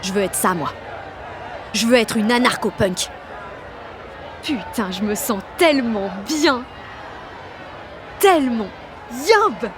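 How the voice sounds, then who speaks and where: A high-pitched, excitable sixteen-year-old girl tells her story in an audio drama. She gushes in slang, swears and mocks her own awkwardness. A clear street crowd and urban noise run behind her. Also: slow, long pauses. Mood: elated, smitten. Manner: gushing, slangy, swearing, self-mocking. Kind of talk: audio drama, slangy first-person inner narration and dialogue.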